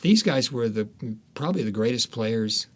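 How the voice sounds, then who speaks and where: A man being interviewed as an author, speaking conversationally and haltingly, repeating words as he thinks aloud. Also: mid-range voice, lively intonation.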